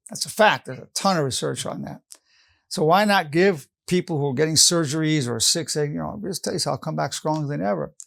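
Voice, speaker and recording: Older male psychologist, gravelly, slightly high voice, emphatic teaching tone. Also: a bit bright. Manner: earnest, emphatic, repeats key phrases. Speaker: psychologist teaching an online lesson. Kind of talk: motivational lecture.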